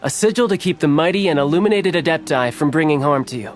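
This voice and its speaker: An actor playing a suave, playful young envoy in a game cutscene, smooth, teasing and glib. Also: male voice, a little high, animated, fast, noisy background, natural voice. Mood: smooth, explanatory.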